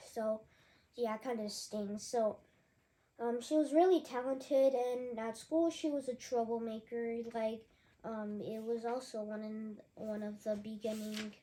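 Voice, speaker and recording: A young woman with a sore, hoarse throat from allergies, giving a casual, rambling book review with many fillers and restarts. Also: a little high, fairly even intonation, unhurried, warm tone, low-quality recording.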